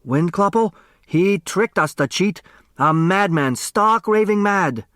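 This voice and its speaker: A man's voice: high voice, animated, takes it slowly, fairly bright tone, no echo, studio quality, pitched above the performer's own voice, exaggerated voice. A man voicing a bitter, indignant heir in a novel, ranting with emphasis. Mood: outraged.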